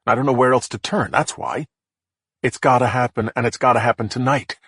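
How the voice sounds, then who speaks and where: A man's voice: medium pitch, steady tone, brisk, fairly bright tone, slightly rough, dry recording, studio quality. A man voicing a stressed middle-aged man who hisses threats and panics on the phone, in a profane, snarling way. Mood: panicked. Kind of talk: audiobook character voice.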